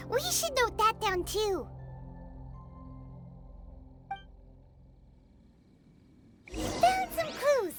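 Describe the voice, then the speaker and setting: Squeaky, very high childlike fairy girl. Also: heavily acted. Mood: earnest, thinking. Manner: childlike, exclamatory, inquisitive. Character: small floating fairy girl companion, curious and excitable. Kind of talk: video game character acting.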